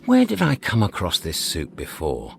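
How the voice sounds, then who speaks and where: A man playing an excitable, sarcastic space adventurer in a radio comedy, brisk and colloquial. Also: low-pitched, creaky, slight background noise, full character voice. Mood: wry, musing.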